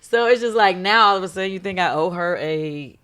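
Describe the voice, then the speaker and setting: A woman with a somewhat low, hoarse voice hosts a casual talk show. She speaks bluntly and emphatically, leaning hard on her words. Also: fairly quick, fairly bright tone. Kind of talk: casual gossip chat.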